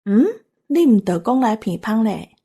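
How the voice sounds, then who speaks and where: A woman's voice: on the high side, lively intonation, moderate pace, mellow, husky. A woman with a Taiwanese accent talking conversationally as a co-host. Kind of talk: conversational discussion.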